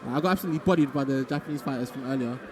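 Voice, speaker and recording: A man's voice: mid-range voice, crowd noise. A man hosting a live stream and interviewing players in a loose, casual way. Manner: rapid and loose, with many fillers and restarts.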